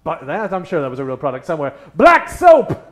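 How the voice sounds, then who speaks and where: Animated, high-pitched male stage MC. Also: fairly quick. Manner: theatrical, mock-formal showman. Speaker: stage MC warming up audience. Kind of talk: improv comedy hosting in 1940s radio manner. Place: live on stage in a comedy theater.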